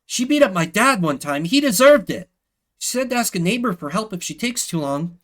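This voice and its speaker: A man voicing a cheeky, tough, energetic young girl in a video game, speaking in blunt, childlike phrasing. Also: sits high, fairly quick, pitched above the performer's own voice. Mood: earnest, indignant.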